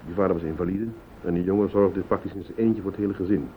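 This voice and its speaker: An actor playing a composed private detective who questions politely and methodically in a radio play. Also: male voice, fairly even intonation, fast talker, lightly acted. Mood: grave, explaining. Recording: tinny old radio recording, hiss, rumble.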